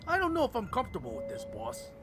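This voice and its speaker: A man's voice: sits high, wildly swooping pitch, fast talker, music in the background. An actor playing a crime boss's earnest, conflicted henchman in an audio drama, speaking expressively. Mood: confused, uneasy.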